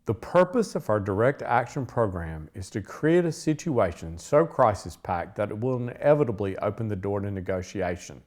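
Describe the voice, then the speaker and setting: A man's voice: fairly low pitch, animated, moderate pace, few pauses, a little mellow. A man reading a historic letter aloud as scripted text.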